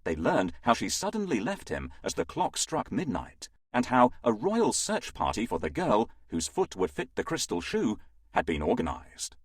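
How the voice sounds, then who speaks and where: A man reading a scripted children's fairytale as an expressive, dramatic storyteller. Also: on the low side, normal speed, slightly rough, no echo, studio quality.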